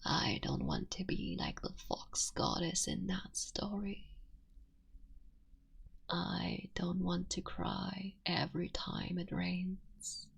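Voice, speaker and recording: An immortal fox witch breathes her lines in a soft, intimate whisper, voiced by a woman. She speaks very slowly in a lowish, gravelly, breathy tone. She is a devoted, tender wife in an ASMR roleplay. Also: wildly swooping pitch, exaggerated voice. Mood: tender, wistful.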